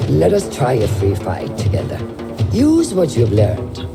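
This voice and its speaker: An actress playing a stern, wise mentor who trains an assassin, speaking in calm, measured instruction. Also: female voice, rumbling low, vocal fry, full character voice. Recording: loud music behind.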